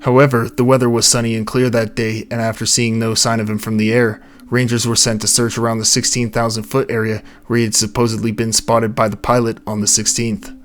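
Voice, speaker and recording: A young man with a low, soft voice, reading a scripted account of a mystery late at night at home. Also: flat delivery, a little fast, few pauses. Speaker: narrator of a mystery channel. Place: home recording space.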